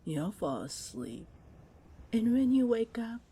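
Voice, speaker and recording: A woman voicing a flirty, possessive mermaid in an intimate roleplay audio drama, coaxing in breathy half-whispers. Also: a little high, very animated, takes it slowly, mellow, full character voice. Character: flirty, possessive, greedy female mermaid luring a pirate. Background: wave ambience.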